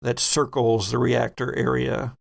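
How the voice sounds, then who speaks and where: A man acting as game master, narrating a tabletop horror roleplaying game in a halting, deliberate way with vivid detail. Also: mid-range voice, level tone, vocal fry.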